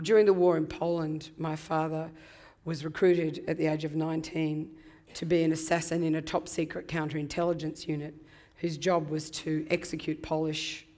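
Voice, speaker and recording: Hoarse low-voiced woman in her fifties. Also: darkish tone. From Australia. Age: 50s. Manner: reflective, frequent ums. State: hoarse from laryngitis. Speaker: guest author. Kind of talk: conversational interview.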